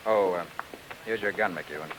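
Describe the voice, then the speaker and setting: An actor playing an assured, probing private detective in a 1940s radio drama, speaking briskly and with authority. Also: male voice, low voice, a bit bright, slight background noise, rough recording. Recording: vintage radio drama recording.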